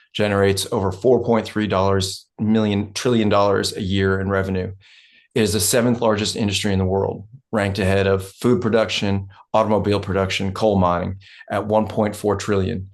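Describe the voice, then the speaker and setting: A man's voice: deep voice, flat delivery, normal speed. A man playing a blunt, world-weary oil company land man in a script read. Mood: matter-of-fact. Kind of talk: dramatic script table read, monologue.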